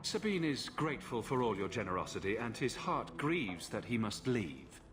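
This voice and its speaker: Animated, persuasive adventurer hero. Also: male voice, lowish voice, moderate pace. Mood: wry, persuasive. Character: confident male adventurer, persuasive, sympathetic, quietly wry. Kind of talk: dramatic video game acting. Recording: music behind the voice.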